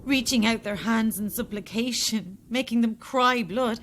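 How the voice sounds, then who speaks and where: A woman playing a grim reporter in an audio horror drama, questioning in a measured, accusing way. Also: fairly high pitch, a bit bright, a little hiss or hum. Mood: grim realization. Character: grimly probing female reporter confronting a killer.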